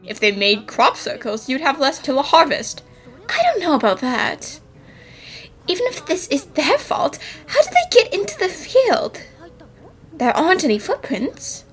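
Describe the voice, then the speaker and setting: A woman's voice: high-pitched, huge pitch swings, a little slow, a bit bright, faint music behind, full character voice. A woman playing a perky, inquisitive young lady detective with a theatrical manner in a fan-dubbed cartoon. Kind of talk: fan-dub cartoon acting.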